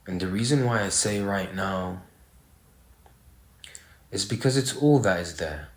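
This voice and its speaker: A man leading a guided meditation, speaking in short phrases with pauses between them. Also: low voice. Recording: roomy, echoey sound.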